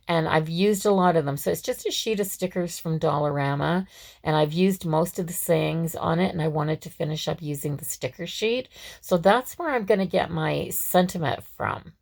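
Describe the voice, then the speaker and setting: A woman with a scratchy, hoarse voice, chatting through a craft tutorial at her work table with lots of fillers and restarts. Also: on the low side, normal speed. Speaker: craft vlogger. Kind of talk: casual step-by-step craft tutorial.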